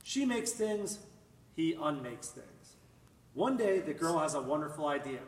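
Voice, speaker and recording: Echoey, higher-voiced man reading a storybook dramatically. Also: very animated. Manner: dramatic, with drawn-out words and emphatic swells. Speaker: storybook reader. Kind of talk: expressive children's picture-book read-aloud. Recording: echoey room, distant mic, faint noise.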